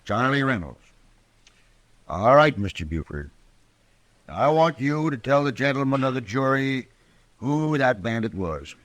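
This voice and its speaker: A man's voice: mid-range voice, sing-song, measured pace, long pauses, fairly bright tone, dry recording. A man playing a brusque, officious frontier judge in an old-time radio western, curt and offhand in his questioning. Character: brusque, biased frontier judge fond of liquor. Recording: vintage radio drama recording.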